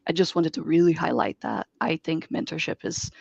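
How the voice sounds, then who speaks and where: A woman from Brazil, a native Portuguese speaker, speaking as a software engineer guest in an online interview and giving earnest, expansive advice. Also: low voice, a little fast, gravelly, husky, dry recording. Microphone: video call. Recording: dull dry video call audio.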